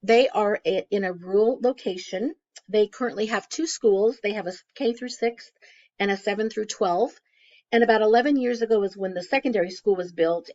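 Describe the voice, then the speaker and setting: A woman with a crackly, hoarse voice from a cold, presenting a class case study conversationally with frequent fillers. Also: mid-range voice, a little mellow. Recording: dull lo-fi recording.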